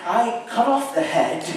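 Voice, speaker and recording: A man playing a nervous, unhinged murderer in a theatrical gothic horror reading, halting and repeating himself between sudden outbursts. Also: high-pitched, sharp tone, exaggerated voice. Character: unhinged nervous murderer narrating his gothic crime, gleeful and frantic. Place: live show at an amusement park. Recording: echoey hall from far off, some noise.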